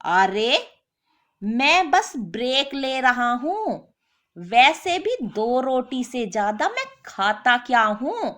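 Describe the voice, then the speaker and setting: A woman puts on a lazy, jobless husband for a storybook tale. He whines with indignant self-pity, high in pitch and swooping up and down. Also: very animated, slow, fairly bright tone, full character voice. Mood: lazy, irritated.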